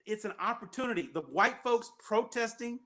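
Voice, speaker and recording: Bright, high-voiced emphatic male host. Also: expressive, moderate pace. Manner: emphatic, repeats key points. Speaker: call-in show host. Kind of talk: casual call-in chat.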